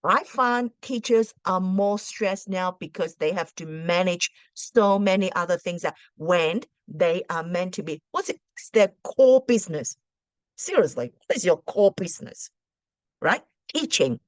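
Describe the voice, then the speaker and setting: A teacher whose first language is Cantonese, giving parents casual advice in a chatty way, full of rhetorical questions and restarts. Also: female voice, lowish voice, lively intonation, unhurried, dry recording.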